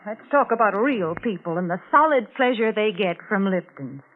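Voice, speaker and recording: A woman's voice: medium pitch, expressive, moderate pace, a little hiss or hum. A woman doing 1940s radio advertising as a sponsor's spokeswoman, prim and emphatic. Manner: prim, scolding, emphatic salesmanship. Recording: tinny, band-limited 1940s radio recording.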